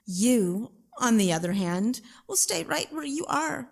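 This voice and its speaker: A woman playing a wealthy, haughty plantation owner in an audio drama, formal and measured with quiet menace. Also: fairly high pitch, sing-song, creaky, heavily acted. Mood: quietly menacing.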